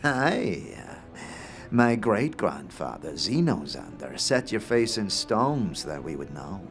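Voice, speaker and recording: Eccentric male inventor, played by a man, fantasy audio drama: slow, cryptic, grand delivery with big swings in pitch, faint music beneath. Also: very animated, vocal fry, exaggerated voice. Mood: solemn, cryptic. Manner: theatrical, grandiose, dramatic pauses.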